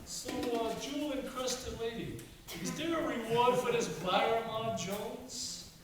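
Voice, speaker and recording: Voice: medium pitch, a little slow, crowd noise, lightly acted. An actor playing a sly, uneducated crook in a radio play, talking in folksy, ungrammatical tough talk. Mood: wheedling, menacing. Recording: roomy far-off mic, hissy echoey room.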